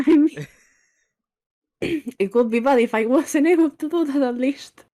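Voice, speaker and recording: A woman's voice: high voice, a little slow, mellow. Spanish-accented woman, friendly interview guest. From Spain. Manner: friendly and laughs easily, at times searching for words. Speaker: guest, VTuber artist. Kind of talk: casual interview chat.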